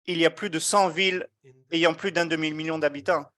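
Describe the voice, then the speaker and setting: A man giving a live interpretation of an online meeting, measured and often hesitating as he keeps up with the speakers. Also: on the high side, steady tone, pushed and bright. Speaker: simultaneous interpreter.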